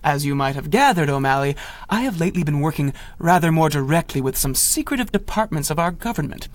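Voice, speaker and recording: An actor playing a proud, skeptical young inventor and adventurer in a 1930s radio drama, speaking formally and with self-importance. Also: male voice, fairly high pitch, expressive, fast talker, natural voice.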